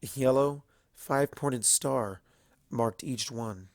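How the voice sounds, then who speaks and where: A male narrator reads fiction with deliberate, dramatic phrasing. His voice sits in a middle register, and the recording is close and dry, with no room sound. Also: medium pitch, darkish tone, no echo. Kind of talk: scripted story narration.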